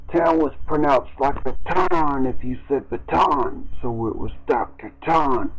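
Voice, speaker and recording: A man's voice: a little high, darkish tone, low-quality recording. A man reading his own short story aloud in the first person, in a somber, downbeat way. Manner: slow, somber, downbeat delivery.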